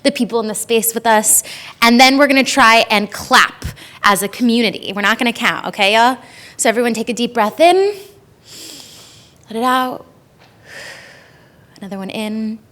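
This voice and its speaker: A woman's voice: on the high side, a bit bright. A woman facilitating a live workshop, speaking to the audience in an informal, encouraging way into a handheld microphone. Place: in-person conference session room.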